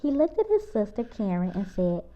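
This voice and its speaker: A woman's voice: big pitch swings, normal speed, mellow. A woman storyteller reading a children's picture book aloud with expression. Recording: muffled, low-fidelity audio.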